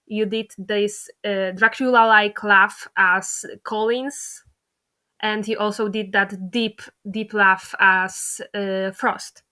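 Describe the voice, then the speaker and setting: A woman's voice: medium pitch, steady tone, slow, pushed and bright, clear, resonant tone. A woman hosting a casual interview, talking in an enthusiastic, effusive way. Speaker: interviewer, fandub creator.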